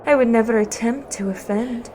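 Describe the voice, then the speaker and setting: A woman's voice: a little high, moderate pace, noisy background, lightly acted. An actress playing a haughty, slyly insolent noblewoman of the gods in an audio drama, mock-polite in her delivery. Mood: mock-polite, cutting.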